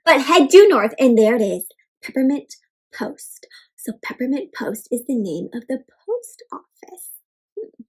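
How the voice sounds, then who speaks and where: A woman's voice: high voice, very animated, measured pace, husky, poor audio. A woman hosting an interactive storybook read-aloud for kids, asking questions and explaining words simply. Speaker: children's read-aloud host, teacher.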